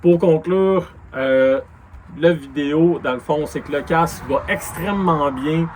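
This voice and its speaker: A man with a Quebec French accent reviews motorcycle gear in a casual, unscripted way. His voice sits slightly higher than average for a man. There is audible noise behind him as he talks. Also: measured pace, a little mellow. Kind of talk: casual spoken product review.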